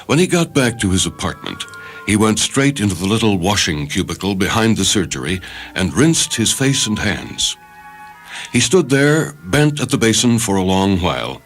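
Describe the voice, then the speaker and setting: A man narrates a short story in a dramatic, scripted style. His voice is fairly low with very varied intonation. Soft music plays faintly behind him. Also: slightly rough.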